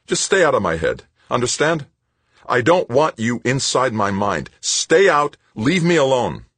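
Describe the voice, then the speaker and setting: A man voicing a furious, desperate young man who roars and shouts. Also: mid-range voice, big pitch swings, unhurried, husky, no room sound, voice pushed up, full character voice. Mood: bitter. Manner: roaring, shouting.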